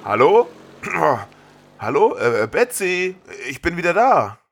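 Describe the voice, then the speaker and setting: A man's voice: on the high side, very animated, slow pace, gravelly, voice pushed up, exaggerated voice. Man playing a stubborn, jumpy dad. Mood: startled. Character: jumpy, stubborn father fed up with his house. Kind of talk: amateur podcast audio play.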